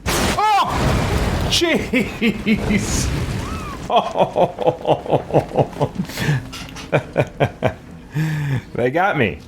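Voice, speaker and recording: Animated man doing game commentary. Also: a little high, extremely slow, long pauses, background noise. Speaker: host of a gaming let's play. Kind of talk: casual live game commentary.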